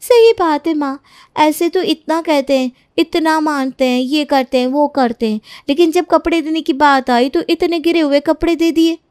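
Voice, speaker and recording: A high, girlish voice for a young sister-in-law a woman acting in a cartoon family tale. She is outspoken and easily indignant, recorded dry and close. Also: normal speed, darkish tone, dry recording, close to an everyday voice. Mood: scornful.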